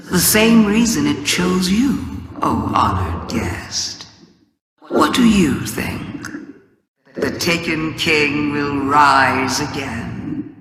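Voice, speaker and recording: A woman's voice: rumbling low, full character voice. A woman voicing a scheming alien witch queen in a video game, theatrical and taunting, savoring each word through cavernous reverb. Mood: taunting, playful. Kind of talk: dramatic video game monologue. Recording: long reverberant hall echo.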